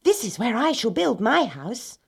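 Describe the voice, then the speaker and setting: A woman voicing a young male little pig in a storybook, excitable and emphatic. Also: on the high side, measured pace, vocal fry, husky, voice pushed up, full character voice. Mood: cheerful. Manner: exclaiming, emphatic.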